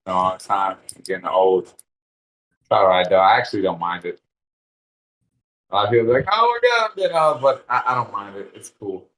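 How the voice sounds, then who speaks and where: A man from Puerto Rico in his thirties, a fighter chatting casually in an interview, relaxed and rambling with restarts and casual swearing. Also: fairly low pitch, normal speed, pauses often, rough recording. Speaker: interview guest, bare-knuckle fighter.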